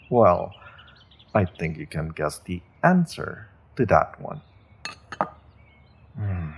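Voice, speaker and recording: A sinister sorcerer captor played by a man speaks very slowly, in a deep voice full of gravel. He is courteous and measured, letting polite phrases carry the threat, as in a fantasy audio roleplay. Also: full character voice. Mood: calm threat. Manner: measured, polite, veiled threats, deliberate pauses.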